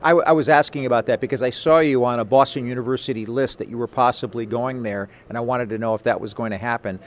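A man's voice: medium pitch, steady tone, fast talker. A man hosting a conversational sports interview, talking in a wordy, encouraging way.

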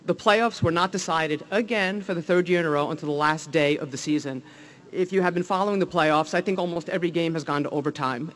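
Jersey guy league exec giving a speech, fairly high voice, lots of ums and restarts, pumped up. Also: fairly bright tone. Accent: New Jersey. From New Jersey. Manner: enthusiastic, many fillers and restarts. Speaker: league executive. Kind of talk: public speech at a press event.

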